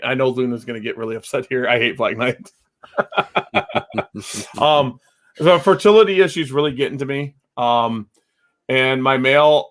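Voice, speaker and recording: A man hosting a casual online chat, talking loudly and rambling, laughing often, with his mic set about two feet away. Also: medium pitch, vocal fry.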